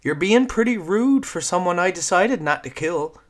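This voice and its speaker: A man's voice: high-pitched, expressive, voice pushed up. A man voicing a brash nine-year-old forest girl with a lilting Newfoundland accent, talking cheekily and nonstop. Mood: cheeky. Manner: chatty, cheeky, rapid-fire.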